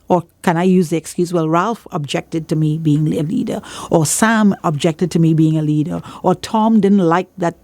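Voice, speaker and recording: A woman's voice: lowish voice, a little mellow, no room sound. A woman with a Trinidadian accent speaking as a church leader in an interview, emphatic and repeating phrases for emphasis like a preacher giving testimony.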